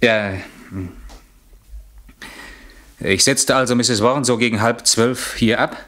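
An actor playing a nervous, evasive gentleman under suspicion in a German radio crime drama, defensive and hesitant as he protests his innocence. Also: medium pitch, fairly even intonation, normal speed, close to an everyday voice. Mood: uneasy, evasive.

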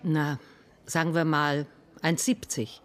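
A woman's voice: deep voice, little pitch movement, slow, soft background music. An actress in a radio crime drama playing an indignant, shaken woman witness, querulous and brusque. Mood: cooperative. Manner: querulous, brusque, easily agitated.

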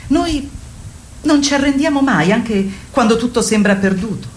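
A woman's voice: on the high side, expressive, moderate pace, natural voice. A woman playing a commanding, encouraging feminist workshop leader in a radio play, exhorting her group with theatrical, rhetorical fervour. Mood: solemn, fervent. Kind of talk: radio theatre acting, declamatory.

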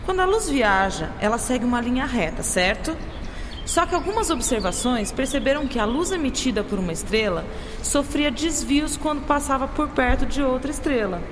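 A woman plays a motherly scientist in a radio drama, affectionate and patient as she explains. Her high voice is animated, in a noisy space with long reverb. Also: moderate pace. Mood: explaining. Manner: affectionate, didactic. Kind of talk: educational radio drama acting.

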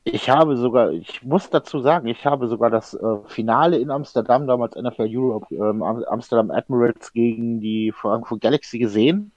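A man's voice: medium pitch, a little fast, hardly any pauses, a little mellow, no echo. Chatty man, dry dull podcast sound. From Germany. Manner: chatty storyteller, many fillers and restarts. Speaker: podcast co-host. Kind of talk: casual chat.

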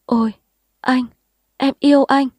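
A woman's voice: a little high, normal speed, darkish tone, no echo, voice pushed up, close to an everyday voice. A woman reading a story aloud, voicing a tearful, pleading young village woman. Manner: emotional, tearful.

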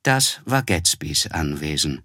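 An actor playing a reflective, disillusioned man who narrates his past in a literary radio play, in a measured way. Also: extremely low pitch, sing-song, sharp tone, dry recording, studio quality, exaggerated voice. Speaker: first-person narrator.

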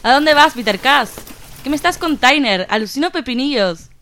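A woman's voice: high-pitched, lively intonation, normal speed, a bit bright, slight background noise. A woman playing a bossy, impulsive, foul-mouthed farm girl, sarcastic and brash, in a comic audio drama. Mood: sarcastic, annoyed.

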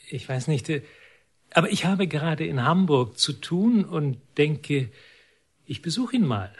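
A self-important visiting critic in a radio drama. His voice is husky and fairly high, drawn out slowly. He is formal, polite and pompous. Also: heavily acted.

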